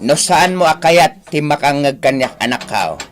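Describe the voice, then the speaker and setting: A man's voice: fairly high pitch, expressive, normal speed, close to an everyday voice. An actor with an Ilocano accent playing a scolding, plain-spoken old father in a radio drama.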